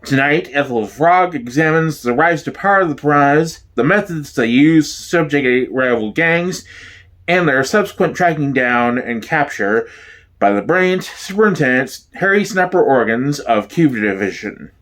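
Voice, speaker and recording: A man giving a dramatic, deadpan reading as the narrator of a mock documentary. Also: a little high, moderate pace. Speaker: solo performer, narrator.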